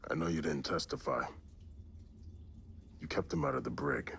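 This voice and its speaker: An actor playing a somber, loyal special forces soldier in a game cutscene, grave and terse. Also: male voice, very deep voice, normal speed, vocal fry, fairly bright tone, breathy edge, full character voice. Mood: reassuring. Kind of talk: dramatic video game cutscene acting.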